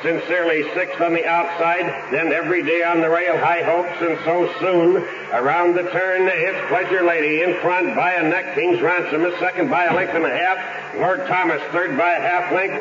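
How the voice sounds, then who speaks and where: An actor playing a racetrack announcer calling a horse race in rapid rhythm, on an echoey, noisy old broadcast. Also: male voice, on the high side, highs cut off, close to an everyday voice.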